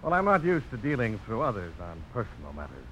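Plain-spoken young frontiersman, animated. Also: on the low side, quick pace, exaggerated voice, low-quality recording. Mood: courteous. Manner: straightforward, firm, courteous. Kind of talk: 1950s radio drama acting. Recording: hissy old radio broadcast.

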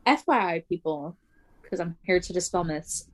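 A woman co-hosting a casual podcast, talking fast and loosely with lots of "like" and frequent laughs. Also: fairly low pitch, animated, low-quality recording. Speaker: podcast co-host. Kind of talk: casual chat.

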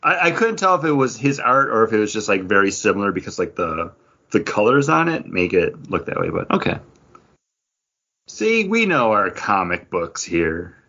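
A man with a fairly low, rough-edged voice talks as a podcast co-host. He rambles casually and enthusiastically, often correcting himself. The audio is a bit dull and lo-fi. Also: big pitch swings, moderate pace, pushed and bright. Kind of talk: casual chat reviewing comic books.

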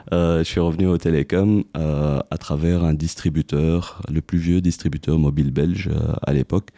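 A man in his forties from Tunisia, a business owner talking about his career as a podcast guest, halting often with fillers and restarts. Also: low voice, mellow, no room sound, clean studio sound. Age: 40s. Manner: hesitant, many fillers and restarts. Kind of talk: casual interview.

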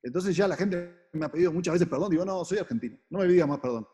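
A man's voice: fairly high pitch, fast, mellow. A man talks casually as an interview guest. His delivery is conversational and unscripted. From Uruguay. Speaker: advertising agency partner, interview guest.

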